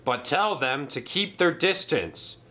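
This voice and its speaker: A man playing a shrewd, defiant railway boss, brisk and authoritative, in a children's story. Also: a little high, lively intonation, pushed and bright, pitched above the performer's own voice, full character voice. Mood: urgent.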